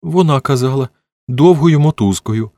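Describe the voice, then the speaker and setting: A man's voice: medium pitch, sing-song, normal speed, creaky, no echo, voice pushed up. A man voicing a frightened, hurt little boy in an audiobook, with a trembling, plaintive delivery. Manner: plaintive, childlike, repetitive.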